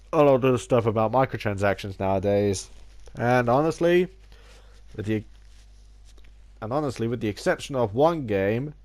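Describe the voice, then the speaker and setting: Gaming YouTuber with a head cold, a little nasal, stumbling and restarting sentences, mid-pitched. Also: male voice, darkish tone. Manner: rambling, many restarts and stumbles; theatrical opening greeting. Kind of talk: casual video essay.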